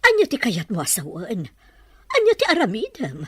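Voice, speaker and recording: A woman's voice: on the high side, huge pitch swings, moderate pace, fairly bright tone, breathy edge, no echo, exaggerated voice. An actress playing an anxious, pleading elderly mother who longs for a grandchild in a Filipino radio drama.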